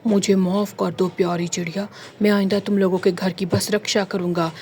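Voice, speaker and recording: A greedy, scheming cartoon crow growls in a deep gruff tone, as a woman puts him on. His voice sits far below the storyteller's, low and grasping, with a faint hum of ambience behind it. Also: flat delivery, moderate pace, close to an everyday voice. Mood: sheepish.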